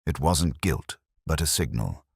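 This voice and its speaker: A man voicing a weary, grey-haired woman in an audiobook story, speaking softly, steadily and without fear. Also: very deep voice, unhurried, gravelly, slightly rough, no room sound, clean studio sound, full character voice. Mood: weary confiding. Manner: soft, steady, unafraid.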